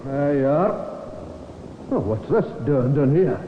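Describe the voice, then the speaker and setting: A plain helpful fella in old radio crime drama, roomy muffled sound. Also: male voice, a little high, lively intonation, slow, slight background noise. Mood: businesslike. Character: plain, cooperative everyman in a crime story.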